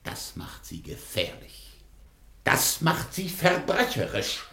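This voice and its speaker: An actor playing a stern, aggrieved middle-aged governor and family patriarch in a German radio drama. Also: male voice, medium pitch, sing-song, slow, creaky, sharp tone, echoey room, full character voice. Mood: indignant, bitter. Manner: authoritarian, aggrieved.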